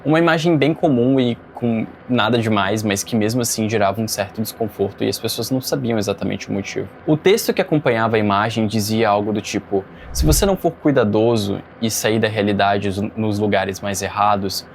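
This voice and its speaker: A man's voice: lowish voice, brisk, hardly any pauses. A Brazilian man hosting and narrating an informative scripted video essay. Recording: faint background noise.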